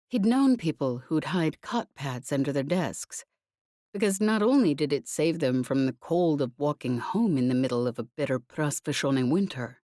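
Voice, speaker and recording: Female audiobook narrator, low and soft-edged, close dry sound, scripted fiction. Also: no room sound.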